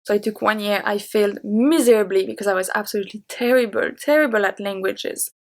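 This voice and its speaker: A woman's voice: medium pitch, brisk. Woman, 30s, French accent, native French. Chatty YouTube host answering questions. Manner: chatty and open, with light laughs. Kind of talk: casual Q&A talking to camera.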